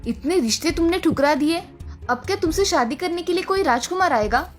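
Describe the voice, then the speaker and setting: A man's voice: very high voice, lively intonation, fairly quick, soft background music, pitched above the performer's own voice. A man voicing a worried middle-aged mother who scolds and frets, for a cartoon. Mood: scolding. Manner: scolding, anxious.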